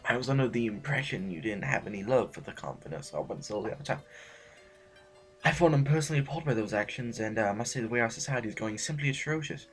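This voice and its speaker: A fussy aristocratic politician, played by a man, snobbish yet timid. He speaks in long pompous phrases that trip into stammers, at a medium male pitch. Music runs behind the audio drama scene. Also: animated, quick pace, natural voice. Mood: flustered.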